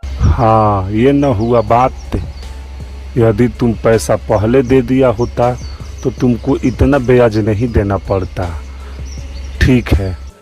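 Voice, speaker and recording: A man playing a greedy, threatening old village moneylender in a cartoon story, in a stern, deliberate manner. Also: medium pitch, mellow, faint music behind, lightly acted. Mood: satisfied. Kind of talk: cartoon moral-story character acting.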